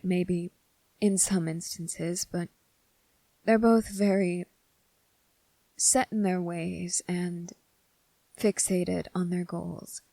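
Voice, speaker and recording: Kind young male cadet female performer, ASMR roleplay. Slightly low, dark soft tone, unhurried, polite, hesitant, reassuring. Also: dry recording. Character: kind, earnest teenage boy military cadet, soft-spoken and caring.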